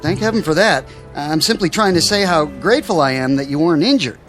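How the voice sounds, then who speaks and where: List: driver, apologetic, stammering, high voice. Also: male voice, lively intonation, a little fast, fairly bright tone, faint music behind. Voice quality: stammering, overly polite. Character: apologetic male driver who hit a man.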